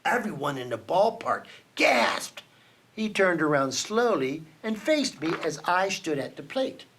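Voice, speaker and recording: A man reads a children's storybook aloud at an unhurried pace, his fairly high voice swinging widely as he builds suspense. He leans into dramatic pauses like a storyteller for young listeners. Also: very animated, fairly bright tone. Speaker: children's book reader for a team reading program. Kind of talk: reading a children's picture book aloud.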